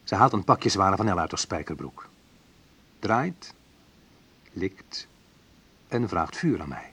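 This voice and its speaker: Slightly husky, low-voiced wistful narrator. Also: male voice, very animated, slow pace, fairly bright tone, full character voice. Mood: wistful, reflective. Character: wistful man recounting his youth. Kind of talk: literary first-person radio play narration.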